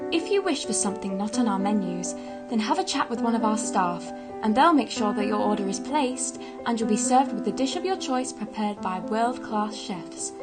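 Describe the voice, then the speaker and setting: A woman's voice: fairly high pitch, natural voice. A woman playing a polished, welcoming hotel announcer, giving a scripted, courteous promotional message in an audio drama.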